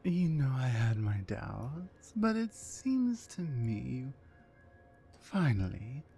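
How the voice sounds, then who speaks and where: A woman voicing a dominant, mean orc warrior woman in an ASMR roleplay, slow, commanding and condescending, with a low breathy voice that often nears a whisper. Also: very animated, warm tone, faint music behind, heavily acted. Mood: commanding, smug.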